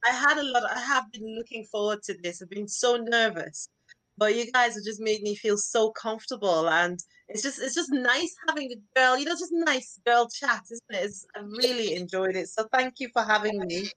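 A woman's voice: on the high side, normal speed, sharp tone, low-quality recording. A middle-aged woman with a Nigerian accent, a charity founder speaking earnestly and gratefully as a guest in a casual video chat. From northeastern Nigeria. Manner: earnest, grateful, repeats herself. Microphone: video call.

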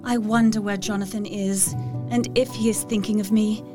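A woman's voice: medium pitch, fairly even intonation, normal speed, over music, close to an everyday voice. A woman playing an anxious, wistful young Victorian lady in an audio drama, reading her journal in a proper, earnest way. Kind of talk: dramatic first-person journal narration.

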